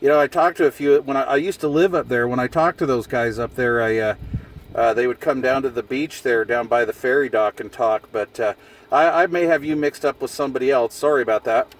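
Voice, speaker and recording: A man makes casual CB radio small talk in easygoing slang, joking in a folksy way. His voice sits at a middle pitch. The mic is some way off, and a light noise runs behind him. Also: brisk. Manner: folksy, jokey. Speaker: CB radio operator filming a vlog. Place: outdoors in a vehicle on a hillside. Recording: distant mic with background noise.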